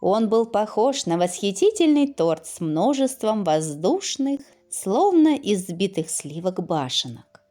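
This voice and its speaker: A woman reading a scripted children's fairy tale in an expressive, deliberate storytelling manner with dramatic pauses. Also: medium pitch, soft background music.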